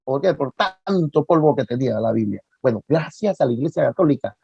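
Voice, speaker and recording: A man giving an informal religious lecture as a catechist, speaking haltingly, repeating words for emphasis, with folksy asides. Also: mid-range voice, animated, vocal fry, darkish tone, breathy edge, no room sound. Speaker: catechist giving a virtual talk. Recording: dull lo-fi online-talk audio.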